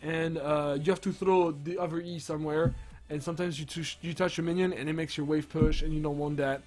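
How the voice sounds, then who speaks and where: A young man with a sick, strained voice, rambling excitedly through game patch notes with lots of restarts. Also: on the high side, fairly quick. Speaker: gaming streamer. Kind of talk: casual patch-notes commentary.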